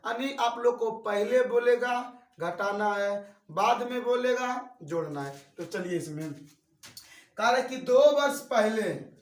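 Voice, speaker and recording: A young man in his twenties teaches math with a strikingly high voice. He keeps repeating each step and asking his students questions. He stands back from the mic in a roomy, echoing space. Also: takes it slowly, far from the mic. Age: 20s. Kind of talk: classroom-style lesson.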